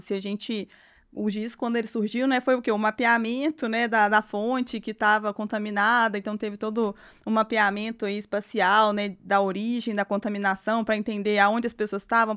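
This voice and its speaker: A woman's voice: medium pitch, level tone, normal speed, a bit bright, smooth. A woman, a guest in a podcast interview, explaining at length and tagging nearly every phrase with a filler. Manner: rambling, frequent "né" tags. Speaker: guest engineer.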